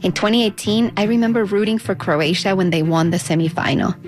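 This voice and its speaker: A woman narrates a scripted personal essay in a reflective, intimate tone. Her voice sits at a middle pitch. Faint music plays softly underneath. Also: fairly quick. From United States. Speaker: narrator and reporter.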